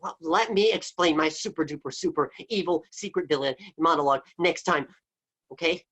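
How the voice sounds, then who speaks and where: A man's voice: on the high side, normal speed, a bit bright, slightly rough. A man voicing a scheming wolf villain who talks casually and hesitantly. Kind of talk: amateur radio play acting.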